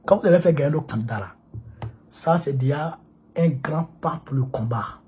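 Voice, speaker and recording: Husky man. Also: fairly high pitch, normal speed, dark tone. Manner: emphatic, repetitive, preachy. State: has a cold. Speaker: political activist addressing supporters. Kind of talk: impassioned political monologue.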